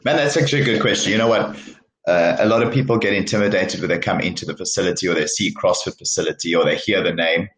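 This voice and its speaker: Deep-voiced man from South Africa, coach. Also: fast, roomy sound, slight background noise, poor audio. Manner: relaxed, chatty, many ums and short laughs. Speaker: CrossFit coach. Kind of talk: casual interview answers.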